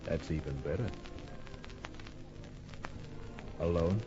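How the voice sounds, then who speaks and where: A wry, hard-boiled private eye played by a male actor tells his case in clipped, cool phrases. His voice sits low, in old-time radio crime style, on a muffled recording with music behind it. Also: quick pace, natural voice. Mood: smooth, flirtatious. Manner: laconic, cool, clipped storytelling.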